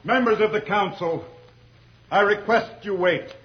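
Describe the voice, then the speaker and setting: An actor playing an earnest council member in an old radio serial, formal and imploring. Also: male voice, high-pitched, a little slow. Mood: pleading. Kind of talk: 1950s radio serial acting. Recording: dull lo-fi old radio recording.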